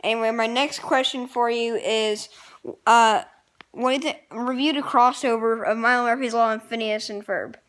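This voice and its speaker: A woman's voice: on the high side, steady tone, moderate pace, a bit bright. A woman co-hosting a casual cartoon review, hesitant and steering the talk with questions. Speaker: co-host asking questions.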